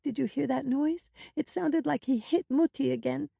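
Woman voicing a high-pitched, innocent little girl. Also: normal speed, vocal fry, warm tone, dry recording, studio quality. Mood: frightened. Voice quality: small, childlike voice. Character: frightened, curious eight-year-old little girl.

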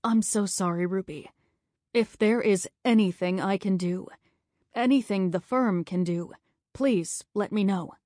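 A woman voicing an awkward male colleague who speaks in a halting, subdued, apologetic way. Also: medium pitch, warm tone, dry recording, studio quality, close to an everyday voice.

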